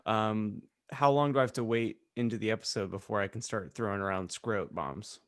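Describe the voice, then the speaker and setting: Low-voiced man, casual banter. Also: a little fast. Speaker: podcast co-host.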